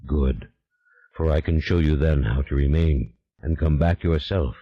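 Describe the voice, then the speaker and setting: A man voicing an invisible ancient male demon, speaking slowly in a hushed, ominous way. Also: very deep voice, husky, muffled recording, voice pushed down, full character voice.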